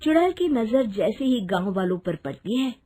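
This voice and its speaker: A woman narrator reads a scripted children's story. Her voice is somewhat high and highly animated, with big swings of intonation. Noise is clearly audible behind her. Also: normal speed.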